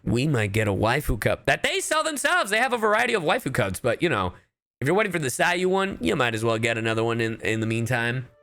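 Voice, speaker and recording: A man livestreaming a game, chatting casually and goofily with his audience in an animated way. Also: medium pitch, fast talker. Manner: animated, goofy, addresses chat directly. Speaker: livestreamer, VTuber. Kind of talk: casual livestream chat while gaming.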